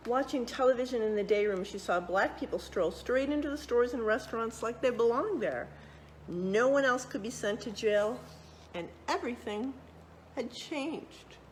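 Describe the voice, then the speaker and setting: A woman reading a children's picture book aloud, expressively, pausing to check in with young listeners. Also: on the high side, a little hiss or hum, rough recording. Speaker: read-aloud storyteller.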